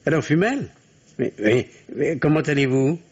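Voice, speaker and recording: Middle-aged dad doctor, husky, swoopy pitch, nervy and evasive, muffled old radio play. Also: male voice, expressive, moderate pace, a little mellow. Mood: curious, flustered. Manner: hesitant, theatrical, repeats phrases reassuringly. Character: evasive, anxious family doctor, fatherly, middle-aged man. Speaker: actor in a radio drama. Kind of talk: old French radio drama acting.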